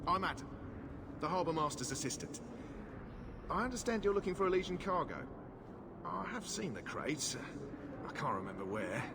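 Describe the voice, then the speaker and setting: A man's voice: on the high side, very animated, moderate pace, gravelly, long pauses. A man playing an oily, corrupt harbour assistant, falsely apologetic, in a video game. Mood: smug, oily. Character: oily, bribe-seeking male harbour official's assistant. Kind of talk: video game dialogue acting. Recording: loud background ambience noise.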